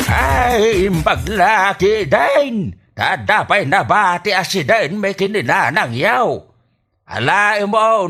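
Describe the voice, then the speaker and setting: Man acting a slow-talking, exasperated old Ilocano father. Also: high-pitched, a bit bright, heavily acted. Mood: disbelieving. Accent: Filipino, Ilocano. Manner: drawn-out exclamations, grumbling. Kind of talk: comedy radio drama acting.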